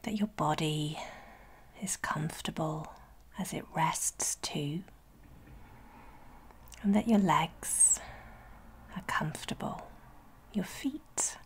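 A woman leading a guided sleep meditation in a breathy, whispery soft voice, soothing and gentle, with long pauses between phrases. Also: lowish voice. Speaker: guided relaxation instructor.

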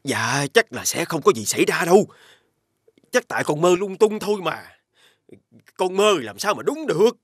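A man voicing a timid but scheming poor husband in an animated fairy tale, stammering when flustered. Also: sits high, normal speed, pauses often, breathy edge, dry recording, clean studio sound, exaggerated voice. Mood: meek, deferential.

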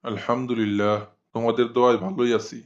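A man's voice: medium pitch, flat delivery, quick pace, muffled recording, natural voice. A man playing a village council member in a cartoon, with an authoritative, placating manner. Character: village council member, mild but easily offended man. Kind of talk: cartoon dialogue.

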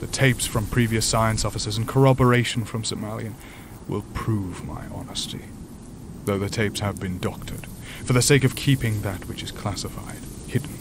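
A man plays an ancient imprisoned creature in a sci-fi horror audio drama. He speaks formally and gravely, with measured courtesy, over noticeable background ambience. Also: lowish voice, noisy background, close to an everyday voice. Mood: solemn, urgent. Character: polite, solemn ancient male creature, imprisoned and doom-prophesying.

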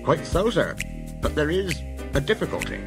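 Guy doing a polite nervous railway inspector in a kids story, higher voice, very up and down. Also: big pitch swings, moderate pace, music in the background. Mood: deferential, flustered. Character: deferential, flustered male railway inspector.

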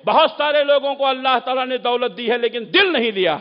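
A man preaching an Islamic sermon, exhorting listeners emphatically with rhetorical questions and repeated phrases. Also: extremely high pitch. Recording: muffled, long echoing hall reverb.

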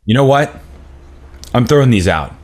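A man's voice: mid-range voice, lively intonation, normal speed, a little mellow, close to an everyday voice. A man in his thirties playing a dismissive, hot-tempered father in a script table read, sarcastic and confrontational. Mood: stern. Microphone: video call.

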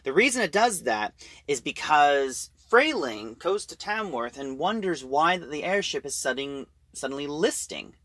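A man giving a casual, halting recap of a story as a reviewer, in an informal way. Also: a little high, big pitch swings, a bit bright.

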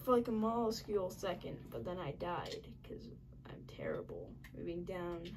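A woman giving casual commentary while playing a game, muttering and mocking herself in frustration, a little raspy at times. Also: on the low side, wildly swooping pitch, normal speed, heavy background noise. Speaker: gaming channel host.

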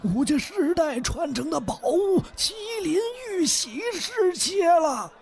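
A man's voice: very high voice, animated, unhurried, gravelly, darkish tone, full character voice. A man voicing an anxious, servile household steward in an audio drama who speaks urgently and deferentially. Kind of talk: fan audio drama acting.

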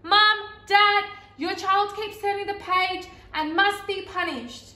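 A woman's voice: very high voice, steady tone, slow, pushed and bright, heavily acted. A woman voicing an exasperated, pleading storybook character in a dramatic, emphatic children's read-aloud. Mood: indignant, threatening. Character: pleading, exasperated talking picture-book character, increasingly frantic. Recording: echoey, roomy sound.

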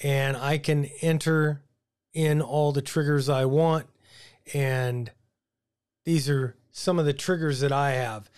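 An American man in his fifties giving a casual, halting explainer to camera as a video host, thinking aloud in an informal way. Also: fairly high pitch, darkish tone, smooth. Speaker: ham radio video host. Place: small home radio room, indoors. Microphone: desk broadcast microphone on boom arm.

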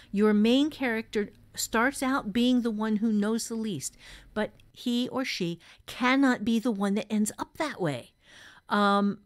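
A woman co-hosting a talk on fiction craft, explaining things in an emphatic, conversational way. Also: a little high. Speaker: podcast co-host and novelist giving writing advice. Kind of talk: conversational writing advice.

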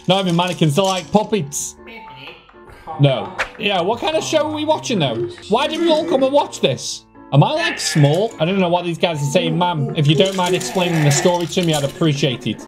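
A young man giving casual, animated horror game commentary in a nervous, joking ramble. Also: a little high. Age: young adult. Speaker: gaming video host. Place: small room with foam panels at home. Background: eerie game music with organ.

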